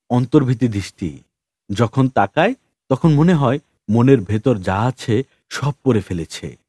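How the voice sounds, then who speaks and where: Male reader playing a wry drifter, deferential, unhurried, mellow voice, dry sound, audiobook story. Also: medium pitch, slightly rough, no room sound. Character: wry, polite male drifter spinning tall tales to police.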